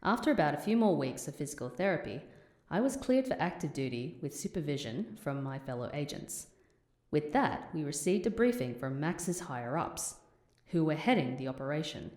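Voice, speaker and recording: A woman's voice: big pitch swings, moderate pace, darkish tone. A woman playing a composed, wry female field agent who narrates and speaks in an audio drama. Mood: wry narration. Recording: roomy echo, faint rumbling noise.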